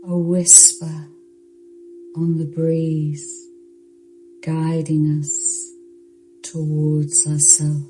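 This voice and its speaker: A woman narrating a guided yoga nidra meditation in a soothing, hushed, unhurried voice with long pauses. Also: deep voice, monotone, slight background noise, poor audio.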